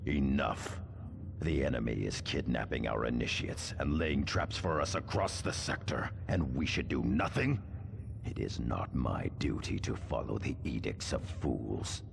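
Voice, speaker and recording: A man playing a grim, stern veteran space marine officer in a video game cutscene, speaking gravely and with measured authority. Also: a bass voice, expressive, exaggerated voice. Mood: defiant, indignant. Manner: stern, measured military speech. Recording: loud game noise behind voice.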